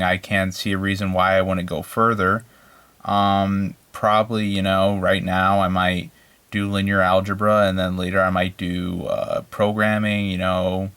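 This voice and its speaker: Guy with a lowish smooth voice, kinda rambling thru an informal lesson, hesitant, lots of you knows. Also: fairly bright tone. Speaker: maths instructor introducing an online course. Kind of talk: informal, unscripted lecture talk.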